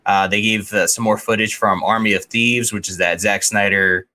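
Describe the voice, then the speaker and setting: A man co-hosting a podcast in casual chat, with a loose, halting delivery that often repeats words. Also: on the low side, fairly even intonation, pushed and bright. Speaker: podcast co-host. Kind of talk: casual chat, informal pop culture news rundown.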